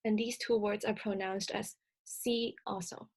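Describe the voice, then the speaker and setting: A woman whose first language is Cantonese, presenting a recorded slide lecture in a measured, explanatory way with frequent filler sounds. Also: medium pitch, flat delivery, darkish tone, slightly rough.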